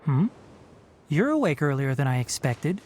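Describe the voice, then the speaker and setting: A man's voice: a little high, big pitch swings, normal speed, a little mellow. A man playing a young, knowledgeable forest ranger in a video game, instructive and slightly wry. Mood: mildly scolding. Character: young male forest ranger, knowledgeable, dry, gently strict. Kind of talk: video game character acting.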